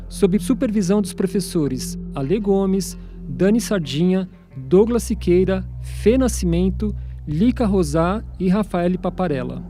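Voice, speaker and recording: A man with a Brazilian accent reading scripted narration as co-presenter of a radio documentary. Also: high voice.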